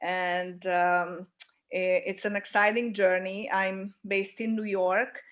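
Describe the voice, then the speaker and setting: A middle-aged woman talks in a thoughtful, searching way and pauses to find her words. The audio is a dull, lo-fi video call with her voice at a middle pitch. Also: little pitch movement. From Hungary. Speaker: guest, filmmaker. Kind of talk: casual interview chat.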